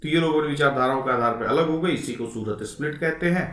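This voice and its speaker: A man's voice: fairly high pitch, fast talker, a bit bright. A man giving an informal exam-prep lecture, repeating key points and checking in with rising tags. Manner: instructive, repetitive, frequent rising check-in tags. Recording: echoey room, distant microphone.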